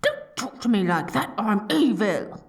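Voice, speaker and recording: Woman playing a theatrical, dorky evil queen, animated. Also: medium pitch, normal speed, breathy edge, no room sound, studio quality. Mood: dramatic, nervous. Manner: grandiose proclamations breaking into flustered stammering. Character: dorky, theatrical evil queen, haughty yet secretly smitten. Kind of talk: scripted ASMR roleplay acting.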